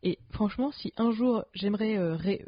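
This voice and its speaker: A woman's voice: mid-range voice, normal speed, dry recording. A woman co-hosting a podcast in casual chat, talking loosely with slang, fillers and restarts. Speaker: podcast co-host. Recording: dull lo-fi podcast sound.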